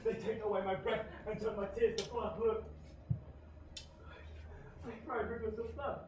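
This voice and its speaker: An actor playing a tormented scholar who sold his soul, shouting in anguish and then dropping to hushed dread. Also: high-pitched, flat delivery, unhurried. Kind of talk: stage play acting. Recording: echoey hall from far off, faint ambient noise.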